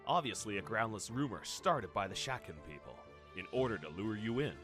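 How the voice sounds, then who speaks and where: A man's voice: fairly low pitch, big pitch swings, brisk. Man playing a stern officer over music. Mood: stern, reproving. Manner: severe, formal. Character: stern, scolding male officer. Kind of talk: fan radio drama acting.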